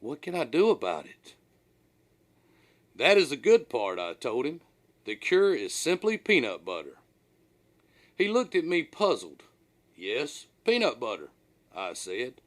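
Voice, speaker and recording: A man's voice: medium pitch, wildly swooping pitch, a little slow, long pauses. A man reading his own humorous story as narrator, with a dramatic, mock-serious, folksy delivery.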